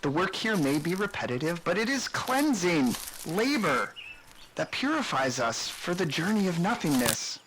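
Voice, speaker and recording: A man playing an older, wise and patient station caretaker in a sci-fi audio drama, measured and philosophical. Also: high voice, very animated, background noise. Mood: firm, patient.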